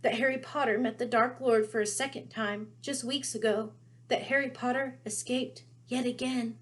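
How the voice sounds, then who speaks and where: Lady doing a squeaky little storybook elf servant, pitched up high, fearful and reverent, super dramatic and pleading. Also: fairly even intonation, normal speed, natural voice. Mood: urgent warning. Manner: pleading, refers to self in third person.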